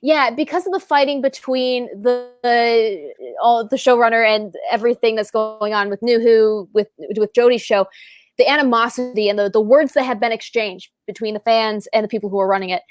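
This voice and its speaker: A woman chatting on a casual fan panel, animated and laughing often. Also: a little high, normal speed. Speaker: panel guest.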